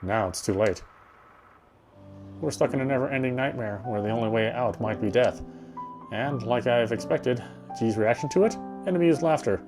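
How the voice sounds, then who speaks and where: A man with a medium-pitched voice reads scripted first-person story narration aloud. He is playing a visual novel, and its music is clearly heard behind him. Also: moderate pace. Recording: voice over game music.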